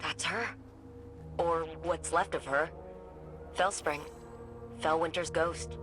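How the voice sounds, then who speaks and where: An actress playing an earnest, curious scientist and ally in a video game, speaking in an intent, natural, conversational way. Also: female voice, sits low, steady tone, takes it slowly, music in the background. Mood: sombre. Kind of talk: dramatic game dialogue.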